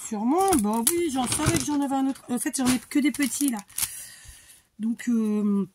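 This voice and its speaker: A woman's voice: high-pitched, normal speed, background noise. A middle-aged woman from the Paris region, chatting casually and rambling through a crafting tutorial. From Paris region, France. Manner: chatty and rambling, many fillers and restarts.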